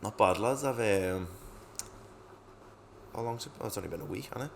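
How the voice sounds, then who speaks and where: A man's voice: lowish voice, normal speed, gravelly, slight background noise. A young man co-hosting a podcast, chatting casually and telling stories with lots of swearing and laughter. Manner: sweary, storytelling, laughs often. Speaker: podcast co-host. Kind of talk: casual chat.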